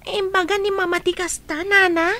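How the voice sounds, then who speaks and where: Radio drama: an actress playing a pleading orphan girl, very high and bright. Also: little pitch movement, normal speed.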